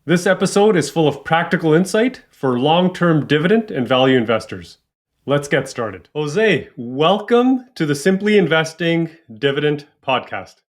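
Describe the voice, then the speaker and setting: A man's voice: a little high, expressive, normal speed. Upbeat male podcast host. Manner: upbeat, welcoming, with frequent fillers. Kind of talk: podcast interview.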